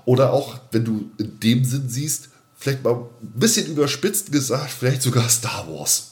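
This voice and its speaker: A man's voice: medium pitch, sing-song, normal speed. A man co-hosting a podcast in casual fan chat, hesitant and roundabout, often repeating himself. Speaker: podcast co-host.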